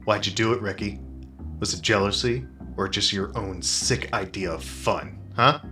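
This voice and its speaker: A low male voice acted as a hard-boiled private eye from a comedy audio drama. He is earnest and self-important, with melodramatic noir phrasing. Music plays clearly under him. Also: normal speed, lightly acted. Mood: accusing. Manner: melodramatic first-person noir narration.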